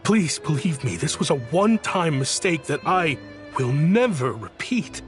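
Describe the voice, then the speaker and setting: A man's voice: on the high side, big pitch swings, unhurried. A man playing a guilt-ridden official turned petty criminal in a video game, with a pleading, theatrical delivery. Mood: pleading, ashamed. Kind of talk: dramatic video game voice acting. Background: loud background music.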